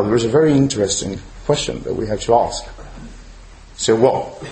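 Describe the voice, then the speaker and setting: An echoey room with faint noise surrounds a male rabbi giving a religious lecture. His voice sits a little high and swings widely in pitch. He teaches emphatically, posing questions as he goes. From outside Chile, now living there. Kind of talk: religious lecture to a live audience.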